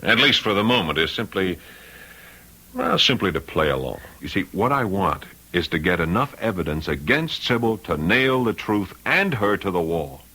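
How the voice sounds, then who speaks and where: An actor playing a shrewd, dogged lawyer in an old-time radio mystery, blunt and coolly insistent. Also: male voice, low-pitched, very animated, moderate pace, sharp tone, husky, heavily acted. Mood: frank, urgent. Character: shrewd, dogged male lawyer investigating a crime. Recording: radio drama recording.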